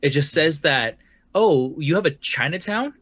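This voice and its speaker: A man's voice: a little high, expressive, a little slow, fairly bright tone, husky, no echo. A man co-hosting a podcast, making emphatic critical points, sometimes cursing for emphasis. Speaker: podcast co-host. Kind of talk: casual panel discussion.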